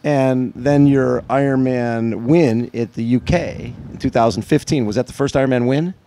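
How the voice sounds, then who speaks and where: A man's voice: mid-range voice, moderate pace, dark tone, a little hiss or hum. Upbeat male sports interview host.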